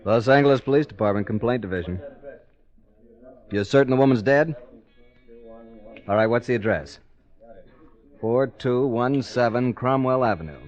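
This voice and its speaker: A man's voice: medium pitch, normal speed, pauses often, close to an everyday voice. An actor playing a businesslike police switchboard operator, clipped and emphatic, in 1940s radio style. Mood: brisk, official. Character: brisk, official male police switchboard operator. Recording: muffled vintage radio, music behind.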